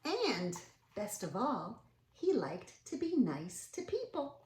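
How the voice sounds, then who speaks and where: A woman hosts a children's read-aloud with a highly varied, fairly high voice. She is expressive and puts questions to her young listeners. The sound is roomy and echoing. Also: slow pace.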